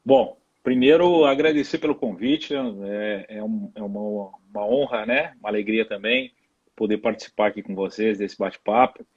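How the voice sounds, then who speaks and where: Husky gaúcho man, halting guest. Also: fairly low pitch, muffled recording. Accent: gaúcho Brazilian Portuguese. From Rio Grande do Sul, Brazil. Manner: warm, halting with fillers. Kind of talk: live-stream interview. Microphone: video call.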